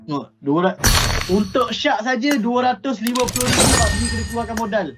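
Young Malaysian streamer over clear music. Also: male voice, very high voice, expressive, fairly quick. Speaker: game streamer. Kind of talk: casual livestream chat. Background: game music.

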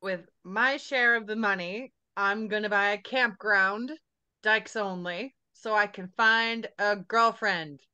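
Woman reading brash bawdy woman, bright clear voice. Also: mid-range voice, fairly even intonation, slow, dull audio. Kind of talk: screenplay table reading. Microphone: video call.

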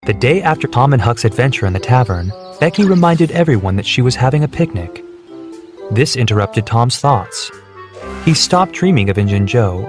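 A man reading a children's storybook, clear and measured. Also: mid-range voice. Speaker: narrator. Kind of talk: scripted storybook narration. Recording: soft background music.

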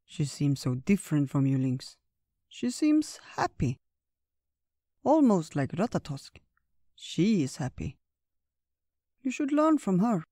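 A wise old bear from a storybook , as a man puts him on, gentle and unhurried. He speaks slowly and warmly, like a grandfather telling tales. The recording is dry and close. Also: a little high, very animated, dry recording. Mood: kindly. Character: kindly wise old male bear, slow-spoken.

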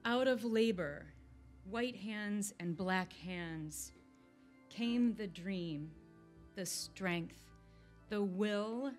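A young woman reads poetry solemnly at a podium microphone, measured and emphatic. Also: mid-range voice. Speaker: community poetry reader. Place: indoor event room, at a podium. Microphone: podium microphone on a stand. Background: faint music.

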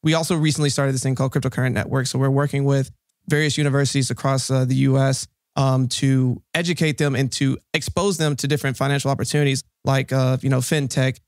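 A man's voice: medium pitch, level tone, quick pace, dry recording, studio quality. A young man hosting a casual interview podcast in an upbeat way, with plenty of fillers. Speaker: podcast host. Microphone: studio mic on a boom arm.

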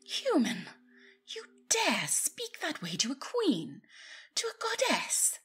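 A woman's voice: sits high, huge pitch swings, slow pace, a bit bright, full character voice. A woman playing a haughty, easily offended griffin courtier in a fantasy audio roleplay, pompous and pleading. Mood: indignant, haughty. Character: haughty, indignant female griffin, devoted courtier.

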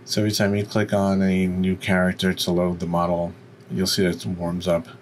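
A man with a deep voice giving an informal, explanatory software tutorial. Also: completely flat delivery, brisk, slight background noise. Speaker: tutorial presenter.

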